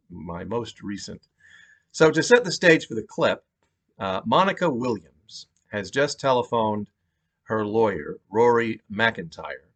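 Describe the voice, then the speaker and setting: A man's voice: lowish voice, fairly bright tone. An American man, an author reading his own novel aloud as scripted narration. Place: home basement.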